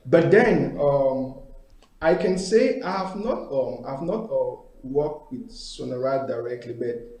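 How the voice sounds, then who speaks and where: Halting man on an echoey video call. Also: mid-range voice, darkish tone. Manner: hesitant, many repeated words and fillers. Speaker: guest, company founder. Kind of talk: casual interview answers. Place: indoor room, video call.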